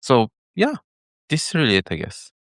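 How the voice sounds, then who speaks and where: A middle-aged man from Bulgaria with a Bulgarian accent, explaining things casually as an interview guest, thinking aloud in halting phrases. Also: medium pitch, wildly swooping pitch, fairly bright tone, dry recording, studio quality. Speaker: interview guest, head of data engineering.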